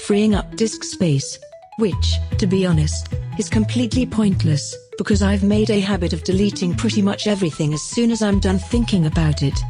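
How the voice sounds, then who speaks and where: A woman's voice: medium pitch, normal speed, music in the background, natural voice. A woman playing a self-promoting, cheerfully smug AI who presents her own podcast in a scripted comedic monologue. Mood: smugly cheerful. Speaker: podcast creator and host.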